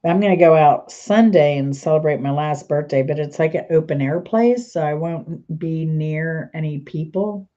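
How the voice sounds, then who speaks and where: A woman's voice: sits low, moderate pace, few pauses, mellow, muffled recording. A woman host chatting casually and rambling, trailing off mid-sentence, sounding stuffy and congested. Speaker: channel host. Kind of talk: casual rambling chat to camera.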